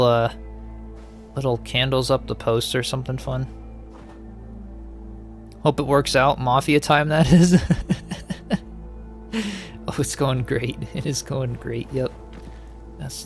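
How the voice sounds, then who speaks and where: A man's voice: mid-range voice, level tone, unhurried, pauses often, faint music behind. A man casually chatting while playing a game and answering viewers, easygoing and musing, often trailing off. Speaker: game streamer. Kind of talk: casual gameplay chat, reading viewer comments.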